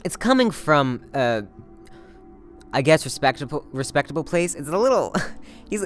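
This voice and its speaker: A man casually talking through a character from a play, hesitant and thinking aloud as he goes. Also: fairly high pitch. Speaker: actor discussing a play.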